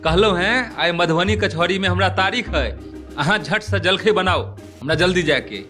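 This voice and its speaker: Man doing a high-voiced, bossy cartoon husband. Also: normal speed, lightly acted. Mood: impatient. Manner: scolding, sarcastic. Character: impatient, bossy village husband, easily irritated. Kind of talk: cartoon comedy dialogue. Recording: music behind the voice.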